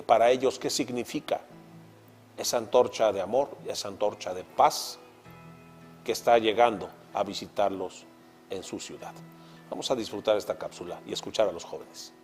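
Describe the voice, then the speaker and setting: A man hosting a religious talk program, speaking in a calm, reflective way. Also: lowish voice. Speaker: TV program host.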